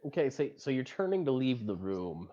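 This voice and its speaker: A man running a tabletop game as game master, improvising narration in a halting, explanatory way. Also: medium pitch, big pitch swings, dark tone, muffled recording.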